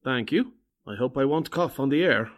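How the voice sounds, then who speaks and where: A man's voice: medium pitch, lively intonation, moderate pace, close to an everyday voice. A man playing a courteous, nervous Norwegian anti-Nazi leader in a radio drama, with formal, oratorical delivery. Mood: polite, nervous. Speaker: speech-maker.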